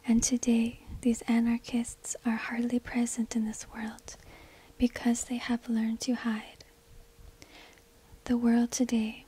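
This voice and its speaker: A woman delivers ASMR narration in a very breathy, unvoiced whisper. Her delivery is slow and hushed, with almost no melodic movement, spoken close into a binaural microphone. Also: fairly high pitch, low-quality recording. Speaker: ASMR video host and shopkeeper.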